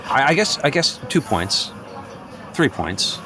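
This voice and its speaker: A man's voice: medium pitch, very animated, takes it slowly, background noise. A man improvising a radio-play role as a manic, defensive office worker who philosophizes in earnest, hesitant rambles.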